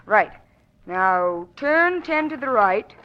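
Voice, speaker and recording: An actress playing a confident young society daughter in a 1940s radio drama, breezy and self-assured. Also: female voice, medium pitch, very animated, takes it slowly, very noisy. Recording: dull 1940s radio recording.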